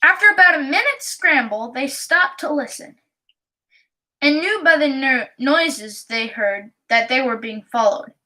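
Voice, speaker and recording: A woman reading a children's storybook aloud in a careful, halting way. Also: high voice, lively intonation, sharp tone, rough recording. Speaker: audiobook reader.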